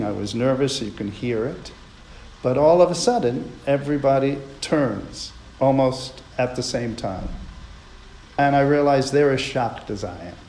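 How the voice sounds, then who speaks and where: A man's voice: medium pitch, darkish tone, roomy sound, slight background noise, poor audio. A man earnestly telling a reflective personal story on a radio show.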